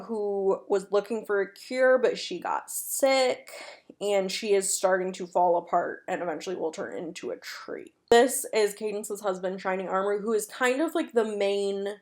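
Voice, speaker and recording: A woman giving casual, chatty commentary as a video host, speaking with enthusiasm and emphasis. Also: medium pitch. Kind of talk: casual commentary on an online animated horror series.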